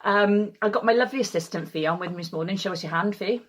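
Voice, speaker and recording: A woman's voice: medium pitch, fast. A Welsh woman leading a choir from home, chatting casually and self-deprecatingly with lots of ums. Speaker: choir leader. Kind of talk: casual chat to camera. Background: faint keyboard.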